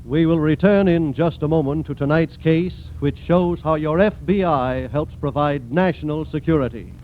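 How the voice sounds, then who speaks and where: A man with an American accent doing scripted 1940s radio announcing and sponsor pitches. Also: normal speed, music in the background. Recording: muffled, noisy 1940s radio recording.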